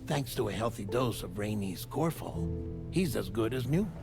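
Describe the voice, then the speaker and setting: A man's voice: lowish voice, lively intonation, moderate pace, creaky, over loud music. An actor playing a confident, genially wordy male doctor in a video game, chatty and reassuring. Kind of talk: video game cutscene acting.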